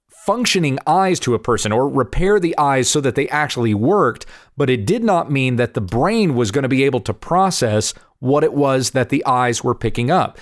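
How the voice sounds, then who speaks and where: A man in his forties, slightly hoarse from allergies, earnestly explaining Bible passages and answering viewer questions. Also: mid-range voice, no echo, studio quality. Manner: earnest, explanatory, reads scripture aloud. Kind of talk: casual Bible teaching video. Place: home in Kansas.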